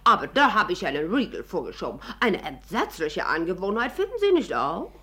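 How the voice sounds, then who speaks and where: A wealthy American society wife in an old 1950s radio play. She chatters and gushes with tactless enthusiasm, her slightly husky voice swooping widely up and down. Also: lowish voice, very animated, brisk, fairly bright tone, exaggerated voice. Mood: effusive. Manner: effusive, exclamatory, chattering.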